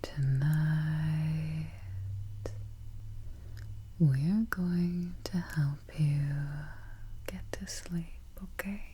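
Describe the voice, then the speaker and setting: A woman whispering softly and breathily in a gentle, soothing manner, guiding a sleep relaxation as an ASMR host up close to the microphone. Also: low-pitched, fairly even intonation, slight background noise. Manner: slow, gentle, soothing, repeats soft check-ins.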